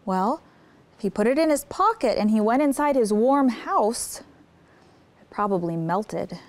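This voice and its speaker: A woman's voice: fairly high pitch, lively intonation, measured pace, darkish tone. A woman hosting story time, reading a picture book aloud expressively and putting simple questions to young listeners. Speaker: children's story time host.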